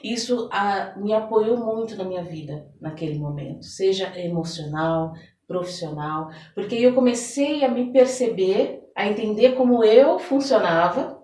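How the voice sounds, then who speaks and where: A Brazilian woman talks as a podcast guest, sharing personal stories in a conversational way. She often checks in with short tag questions. Her mid-pitched voice comes through roomy echo, a little away from the mic. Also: animated, moderate pace, mellow. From São Paulo, Brazil. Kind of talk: personal storytelling in a conversational interview. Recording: echoey room, distant mic.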